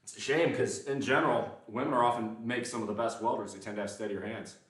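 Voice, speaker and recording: A man's voice: fairly low pitch, fast, distant mic. A man with a mild Southern accent, chatting casually and self-deprecatingly with his livestream viewers between sets in a gym. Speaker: livestreaming lifter. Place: indoor gym. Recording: very echoey room, voice off-mic.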